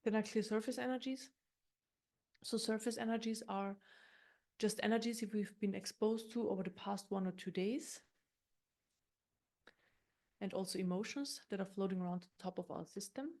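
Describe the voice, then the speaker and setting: A woman leading an unscripted guided meditation in a gentle, unhurried, explanatory manner, often circling back over her words. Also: medium pitch, fairly even intonation.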